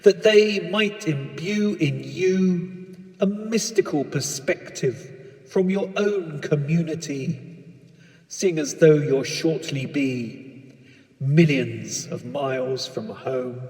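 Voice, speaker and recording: A man playing a blandly official, falsely reassuring launch controller, measured, repetitive and deadpan, in a comic spoken-word piece. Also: on the high side, long reverb tail. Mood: soothing, droll. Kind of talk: comic spoken-word radio-style sketch.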